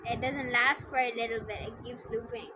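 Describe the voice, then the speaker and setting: A woman's voice: fairly high pitch, steady tone, brisk, background noise. A woman streamer giving casual gameplay commentary and reading dialogue aloud, blunt, joking and sweary. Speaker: game streamer. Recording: loud game music behind voice. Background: loud game music.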